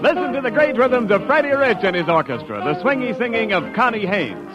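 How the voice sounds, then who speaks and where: 40s american radio announcer guy, high lively voice, music behind, muffled old recording. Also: brisk. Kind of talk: 1940s radio announcing, scripted.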